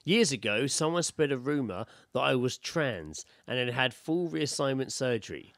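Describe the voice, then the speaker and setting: A man's voice: mid-range voice, very animated, normal speed, gravelly, a bit bright, no echo, studio quality. A British man co-hosting a podcast, bantering casually and reading out stories in a blunt, sweary, laddish way. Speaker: podcast co-host.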